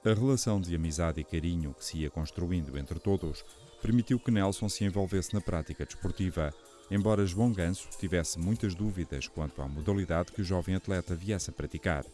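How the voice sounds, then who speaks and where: A man narrating a documentary in a measured, formal tone. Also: low voice, mellow. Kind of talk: scripted narration. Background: music.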